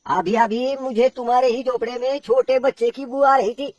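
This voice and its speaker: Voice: mid-range voice, steady tone, moderate pace, darkish tone, no room sound, distant mic, pitched above the performer's own voice, lightly acted. A performer voicing a sly, coaxing male woodcutter who boasts as a trickster in a storybook horror tale. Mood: boastful. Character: sly male woodcutter posing as a child-eating sorcerer.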